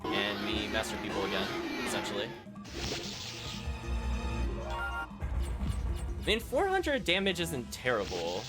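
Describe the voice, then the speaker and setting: Animated man commentating on a game stream. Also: fairly low pitch, takes it slowly, long pauses. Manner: thinks aloud, reacting to game events. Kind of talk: live gameplay commentary, chatting to viewers. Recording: music playing behind the voice. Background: video game music.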